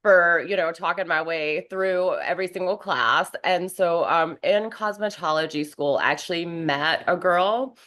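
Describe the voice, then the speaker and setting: A woman from North Carolina, hoarse from a cold, chatting as a guest in an interview with lots of fillers. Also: fairly low pitch, measured pace, few pauses, a bit bright. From North Carolina, USA. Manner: chatty, rambling, many fillers. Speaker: guest, professional wrestler. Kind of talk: casual interview conversation.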